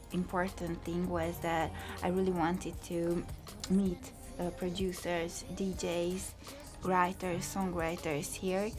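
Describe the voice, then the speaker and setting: Young Hungarian woman, lowish voice. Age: young adult. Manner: hesitant, many fillers, light laughs. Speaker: music student. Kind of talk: casual interview answers. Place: recording studio control room. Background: background music.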